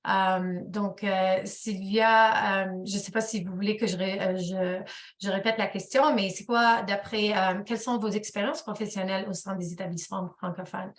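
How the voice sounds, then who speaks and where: A woman's voice: mid-range voice, fairly even intonation, normal speed, roomy sound. A woman moderating a webinar panel, asking questions with many hesitations.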